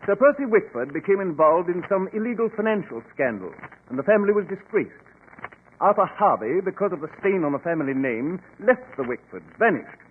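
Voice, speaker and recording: A man playing a confident, insinuating claimant, speaking with deliberate drama in 1940s radio style on a tinny recording. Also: high-pitched, very animated, slight background noise. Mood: insinuating, assured. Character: smooth, insinuating male claimant, confident and calculating.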